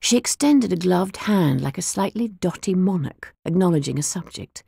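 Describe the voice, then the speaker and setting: A woman narrating an audiobook in the first person with measured, expressive storytelling. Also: on the low side, vocal fry, husky, no echo, studio quality. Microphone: close studio mic.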